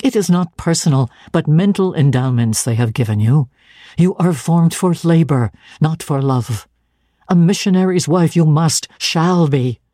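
A woman's voice: deep voice, expressive, unhurried, mellow, no room sound, studio quality. A woman voicing a stern, zealous young clergyman who speaks with solemn, commanding deliberation. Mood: insistent.

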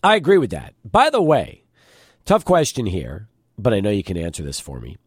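A man hosting a studio sports talk show, his voice a little hoarse and deeper than usual, chatting and asking questions. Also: moderate pace, no room sound. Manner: conversational, lively questioning. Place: radio studio.

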